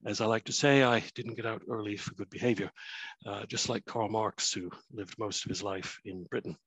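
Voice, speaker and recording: Canadian guy in his 50s giving a talk over a video call from his flat, lots of ums, dry sound. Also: on the low side, husky, no room sound, low-quality recording. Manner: measured, with frequent uh and um fillers. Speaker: author and lecturer. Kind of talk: prepared remote lecture.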